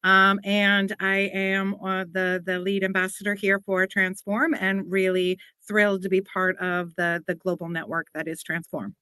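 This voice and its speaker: A woman's voice: mid-range voice, level tone, measured pace, hardly any pauses, sharp tone, clear, resonant tone, no room sound. A woman from Canada introducing herself on an online panel in a cheerful way over a video call. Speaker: chief people officer.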